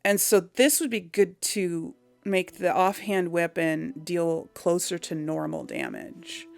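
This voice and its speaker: Lady doing a chill lets play, creaky lowish voice, kinda unsure, musing as she goes, soft music behind. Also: very animated, slow pace. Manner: hesitant, musing. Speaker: video game let's player. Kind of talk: casual game commentary, thinking aloud.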